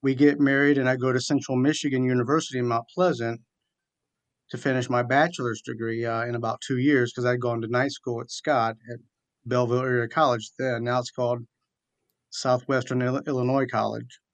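A man's voice: medium pitch, level tone, fairly quick, no echo. A middle-aged American man, an interview guest, telling his personal story conversationally and in methodical detail. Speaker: interview guest, military chaplain.

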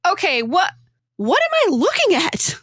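Female comic skit player, very high animated voice, unhurried, scripted lines. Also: dry recording, studio quality. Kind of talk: scripted comedy radio skit dialogue.

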